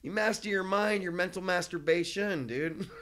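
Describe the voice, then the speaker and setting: A man's voice: high voice, moderate pace, pushed and bright. A man in his forties from East Los Angeles, talking openly in a casual podcast conversation, swearing freely and laughing often. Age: 40s. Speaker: podcast guest.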